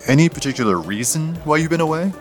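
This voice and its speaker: An actor playing a matter-of-fact police detective in an audio drama, asking direct questions in a dry, natural way. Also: male voice, fairly high pitch, expressive, fast talker, soft background music, natural voice. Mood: probing, matter-of-fact.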